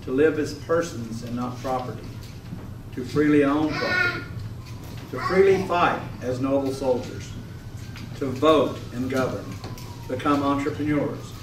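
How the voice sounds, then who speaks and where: A man declaiming from a script, phrase by phrase, in a readers theater rehearsal. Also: medium pitch. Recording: echoey room, distant mic, clear noise.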